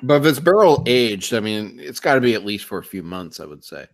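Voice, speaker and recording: A man's voice: lowish voice, sing-song, a little fast. A man with a cold and a stuffed-up nose, co-hosting a beer review podcast in casual chat, earnest and thinking aloud.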